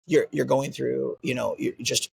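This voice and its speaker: A man's voice: mid-range voice, level tone, measured pace, gravelly, slightly rough, poor audio. A man who runs a martial arts school, talking earnestly in an interview and often tagging his points with "right?". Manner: earnest, repeats "right?" as a tag. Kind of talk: interview conversation.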